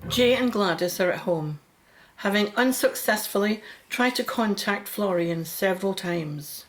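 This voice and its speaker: A woman's voice: mid-range voice, lively intonation, unhurried, soft background music, rough recording. A woman reading scripted narration for a radio drama as its narrator.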